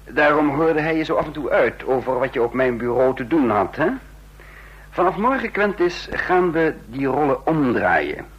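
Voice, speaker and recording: An actor playing a cold, calculating intelligence chief who speaks formally and deliberately in a radio drama. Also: male voice, mid-range voice, lively intonation, breathy edge, dull audio. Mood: cold, commanding. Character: cold calculating intelligence chief, narrating his own downfall. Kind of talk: radio drama acting and first-person narration. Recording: vintage radio play with faint hum.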